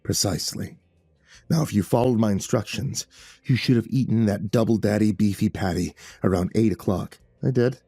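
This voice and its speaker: A man voicing a grizzled older man who speaks deadpan and matter-of-fact. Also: lowish voice, moderate pace, breathy edge, no echo, soft background music.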